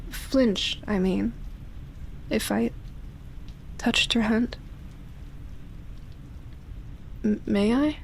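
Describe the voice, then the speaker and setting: Slow, breathy, yearning female vampire, acted by a woman. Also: a little high, slight background noise. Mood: tender, yearning. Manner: slow, hesitant, sighing delivery with long pauses. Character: ancient lonely female vampire, tender, yearning, seductive. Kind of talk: intimate ASMR roleplay audio drama.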